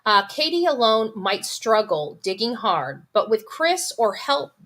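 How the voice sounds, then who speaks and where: A woman's voice: a little high, big pitch swings, a little slow, fairly bright tone. Emphatic woman giving casual true crime commentary. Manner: opinionated, emphatic, repeats phrases for emphasis. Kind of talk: casual commentary to live viewers, reading text aloud and reacting.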